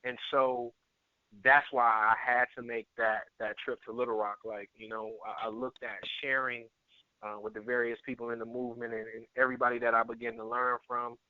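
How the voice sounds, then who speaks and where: Male conference-call guest, earnest and halting, conversational testimonial, thin dry phone-line sound. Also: medium pitch, level tone, no echo. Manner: earnest, halting, repeats words. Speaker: guest, network marketer and area manager. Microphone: telephone conference call. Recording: tinny phone line, narrow band.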